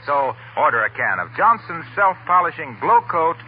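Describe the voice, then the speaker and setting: Brief: male vintage radio pitchman, polished, high and animated delivery, narrow old broadcast sound. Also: normal speed, slight background noise. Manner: polished, salesmanlike. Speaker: radio announcer. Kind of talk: 1940s radio advertising read. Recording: tinny 1940 radio broadcast.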